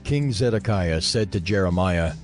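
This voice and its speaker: Deep-voiced male scripture narrator. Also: expressive, moderate pace, faint music behind.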